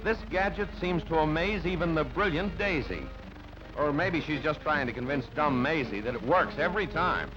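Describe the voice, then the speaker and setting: Lively high-pitched male newsreel narrator, muffled. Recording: band-limited old newsreel, music underneath. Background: background music.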